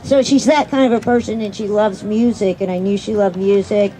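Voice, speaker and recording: A middle-aged woman, a university teacher, answering off the cuff outdoors in a measured, explanatory way. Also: mid-range voice, fairly even intonation, dark tone, a little hiss or hum, low-quality recording. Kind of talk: unscripted panel answer. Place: outdoors at a campground.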